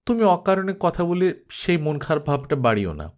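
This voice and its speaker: A man's voice: on the high side, sing-song, normal speed, darkish tone. A sardonic young daughter from a storybook, read by a man. The delivery is guarded and curt, with a teasing way of brushing things off. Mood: irritated, sardonic. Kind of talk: Bengali audiobook character acting.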